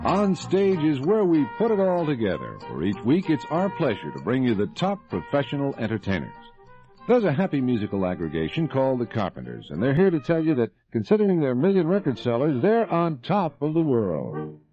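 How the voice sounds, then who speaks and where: A man's voice: mid-range voice, wildly swooping pitch, fairly quick, warm tone, soft background music. An American man reading scripted announcements as a radio host. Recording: dull band-limited vintage radio recording.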